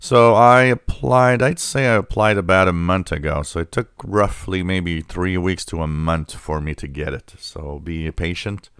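Low-voiced man in casual explainer. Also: unhurried, hardly any pauses, a bit bright, clear, resonant tone, dry recording, clean studio sound. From Canada. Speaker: radio hobby channel host. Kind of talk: casual screen-share explainer.

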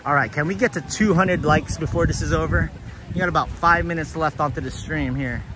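Male livestream host, fairly high voice, laid-back and chatty, recorded walking outside with noticeable ambient noise. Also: brisk. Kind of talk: casual livestream chat, greeting viewers. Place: outdoors, walking along a beach. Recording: noisy outdoor ambience, breezy.

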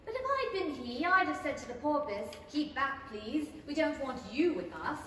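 A curious, polite little girl with firm opinions acted by a woman speaks very high and animatedly. This storybook radio play has heavy echo. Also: big pitch swings, moderate pace, pushed and bright, full character voice. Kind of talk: 1930s-style radio play acting on stage. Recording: very echoey theatre, distant mic, clear hiss.

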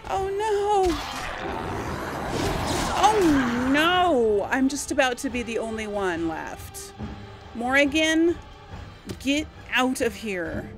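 A woman's voice: high-pitched, big pitch swings, slow, pauses often, music in the background. A woman giving casual let's play commentary, chatting and thinking aloud to her viewers. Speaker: gaming video host.

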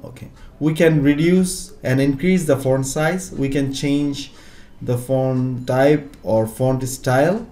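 A man's voice: mid-range voice, fairly even intonation, takes it slowly, warm tone. A middle-aged man teaching a computer lesson one on one, explaining patiently step by step. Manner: patient, explaining step by step, non-native phrasing. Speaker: computer tutor.

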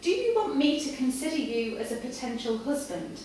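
A domineering Victorian lady, acted by a woman reading aloud in a live story reading. She commands bluntly and haughtily in a high voice. The recording is distant and full of echo. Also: big pitch swings, a little fast, a little mellow. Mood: imperious, demanding. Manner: imperious, blunt, coolly commanding. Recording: echoey, distant camera mic, room noise.

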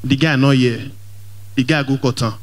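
A young man with a Nigerian Pidgin English accent doing lively stand-up comedy in a large church hall, speaking into a handheld mic and mixing Pidgin with English as he works the crowd. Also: medium pitch, moderate pace. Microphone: handheld microphone.

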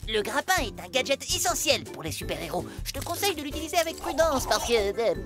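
A woman's voice: high voice, very animated, quick pace. A woman voicing a brainy, cautious schoolboy sidekick in a cartoon, bookish and explanatory. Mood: instructive. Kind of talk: animated cartoon acting. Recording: loud music behind the voice.

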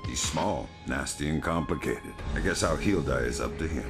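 A man playing a cynical veteran covert agent in a video game, terse and deadpan with dry wit. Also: very deep voice, moderate pace. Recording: loud music over the voice.

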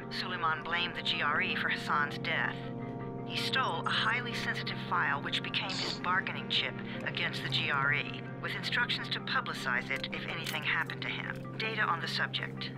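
Game briefing officer, male actor: impersonal, clipped official delivery, fairly high voice, loud music underneath. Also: brisk, lightly acted, rough recording. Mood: detached, grave. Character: male mission briefing officer, formal and detached. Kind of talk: scripted military briefing narration.

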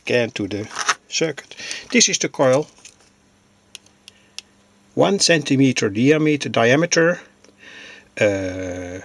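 A man giving an informal hobbyist demonstration, narrating in halting, deliberate phrases. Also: medium pitch, vocal fry. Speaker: electronics hobbyist presenter.

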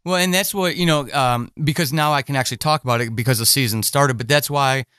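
A man's voice: mid-range voice, fast talker, a bit bright, clean tone, dry recording, clean studio sound. A man hosting a casual podcast interview, talking in an earnest, rambling way.

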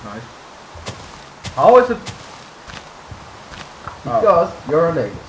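Young Dutch-accented male gamer, lively and raised pitch, roomy recording. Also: slow, creaky. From Netherlands. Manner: excitable, yelps at monsters. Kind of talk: casual gaming chat. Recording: roomy echo, faint noise.

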